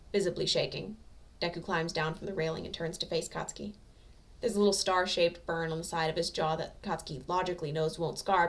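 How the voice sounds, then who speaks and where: A woman reading a story aloud as an amateur narrator, with expressive scripted delivery. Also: lowish voice, distant mic.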